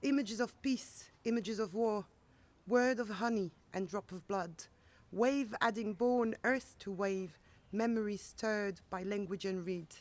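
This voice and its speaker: A woman recites poetry to a live gathering. Her pitch is middling for a woman. She reads line by line with measured care. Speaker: poetry reader and translator. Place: outdoors at a gallery event.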